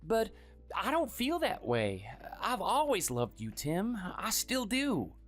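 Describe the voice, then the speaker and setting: A performer voicing a meek, sickly man in his thirties who speaks softly and hesitantly, always apologizing. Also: high-pitched, huge pitch swings, takes it slowly, faint music behind, heavily acted. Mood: pleading. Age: 30s. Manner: soft, hesitant, pleading. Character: meek sickly young husband, timid and apologetic.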